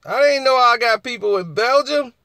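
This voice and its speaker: A man with a husky voice hosting a casual live chat, laughing often and answering viewers. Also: very high voice, normal speed, pushed and bright, no echo, studio quality.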